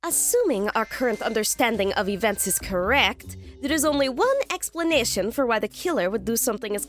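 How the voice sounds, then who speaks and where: An intellectual female profiler, played by a woman in an audio drama, who lectures with formal precision. Her voice sits fairly high and moves with plenty of melody, yet she stays cool and methodical. Faint music plays underneath. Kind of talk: fan audio drama acting.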